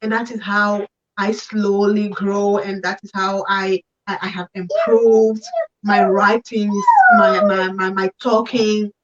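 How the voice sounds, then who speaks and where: A woman's voice: mid-range voice, very animated, slow pace, distant mic, lo-fi sound. A woman with a French accent, a business coach speaking earnestly in an interview, often repeating words. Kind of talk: interview over video call.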